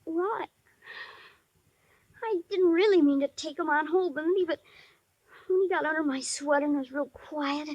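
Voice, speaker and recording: An actor playing a shy but tough-talking ten-year-old boy in 1950s radio drama, with halting, slangy speech. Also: high voice, dry recording, dull audio, close to an everyday voice. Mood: sheepish, guilty. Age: child figure, almost eleven. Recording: dry vintage 1950s radio recording.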